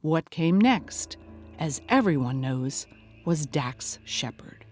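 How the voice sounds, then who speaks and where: A woman's voice: sits low, animated, takes it slowly, a little mellow, faint music behind. A woman playing an earnest investigative podcast host, delivering scripted narration in a mock documentary audio drama. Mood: grave.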